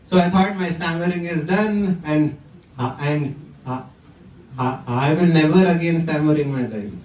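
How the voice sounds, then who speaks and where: A young man, voice a touch high, speaks to a crowd with a stammer, stopping and repeating words. He is picked up from some distance, and the sound rings around a large room. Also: measured pace, darkish tone, roomy sound. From South India. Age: 20s. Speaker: conference speaker. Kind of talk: personal talk to an audience. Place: conference hall.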